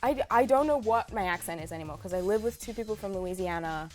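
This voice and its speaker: A woman from Australia, an actress, chatting in a lively, animated way in an interview. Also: mid-range voice, fast talker, soft background music. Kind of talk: casual interview.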